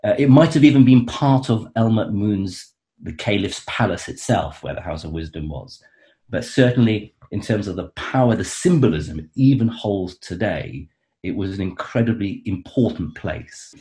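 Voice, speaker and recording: Low-voiced man explaining haltingly. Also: animated, mellow. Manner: hesitant, many restarts and fillers, enthusiastic. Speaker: author and guest expert. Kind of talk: conversational explanation.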